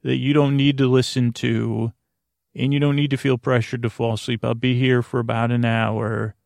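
A man with a gravelly, creaky voice delivers a soothing bedtime monologue as a podcast host. His pitch stays quite flat while he meanders gently, doubling back and stumbling over words. The sound is close and dry. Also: moderate pace, no room sound. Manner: meandering, hesitant, often repeats and trails off mid-word; soothing, lulling. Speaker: host and creator of a sleep podcast. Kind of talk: rambling bedtime monologue, talking gently to the listener.

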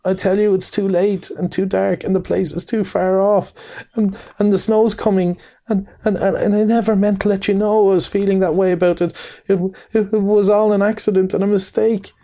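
A man's voice: high voice, little pitch movement, normal speed, dark tone, voice pushed up. A man voicing a timid, tearful young male mole who stammers and sobs. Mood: pleading. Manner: stammering, sobbing delivery.

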